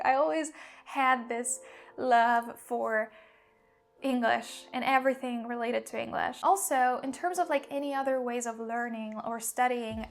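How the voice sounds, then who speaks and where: A young woman with a slight Polish accent, a language mentor talking earnestly about her own learning, with lots of "like" fillers. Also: high-pitched. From Poland. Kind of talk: personal vlog monologue.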